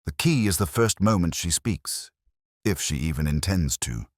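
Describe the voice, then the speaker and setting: A man voicing a calm, courteous male police inspector who talks in a quiet, measured, grave way in an audiobook. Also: low-pitched, dry recording, clean studio sound.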